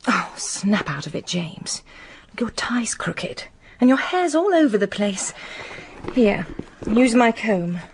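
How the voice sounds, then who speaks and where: Lively, exasperated secretary. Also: female voice, medium pitch, slightly rough, full character voice. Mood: exasperated, fussing. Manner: scolding, brisk, fussing. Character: exasperated, bossy, caring female secretary. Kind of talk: radio drama acting.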